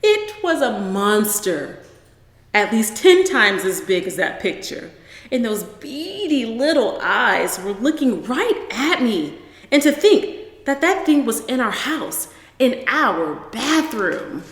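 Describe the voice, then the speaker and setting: A squeamish storybook mother, acted out by a woman reader, emphatic and easily alarmed. Her pitch swings widely, and the room rings with long reverb. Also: very animated, slow pace, heavily acted. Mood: disgusted, alarmed. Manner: exclamatory, emphatic. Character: squeamish, dramatic young mother, easily frightened by frogs. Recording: roomy echo with long reverb.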